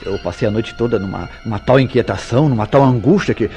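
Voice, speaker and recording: A man acting a jealous, tormented lover in a radio soap opera, with theatrical formal diction and dramatic pauses. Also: medium pitch, warm tone, faint music behind, close to an everyday voice. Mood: defensive. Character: Mauro, jealous, tormented lover confessing a crime.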